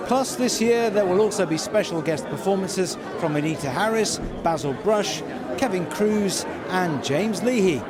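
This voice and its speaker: A man's voice: high voice, animated, moderate pace. A man hosting and interviewing at an event in an upbeat presenting style. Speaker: podcast host and interviewer. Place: theatre venue during red carpet arrivals. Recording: busy crowd chatter in public venue.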